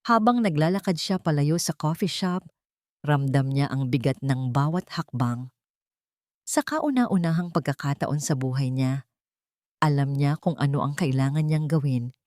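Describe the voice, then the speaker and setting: A woman narrates a scripted drama in a fairly low voice. The sound is dry, with very little room around it. She delivers it as storytelling. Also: expressive, normal speed, a little mellow, no room sound.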